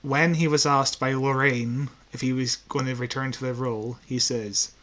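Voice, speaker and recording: A man's voice: medium pitch, steady tone, moderate pace, a bit bright. A man co-hosting a podcast, chatting casually as a fan in a dry, teasing way. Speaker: podcast co-host.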